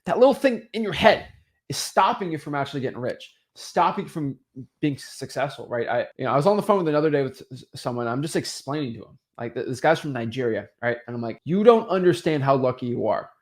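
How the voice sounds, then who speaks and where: Animated young man giving a pep talk. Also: medium pitch, fast talker. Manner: animated, emphatic, acts out excuses in mocking voices. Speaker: real estate webinar host. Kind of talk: motivational webinar talk.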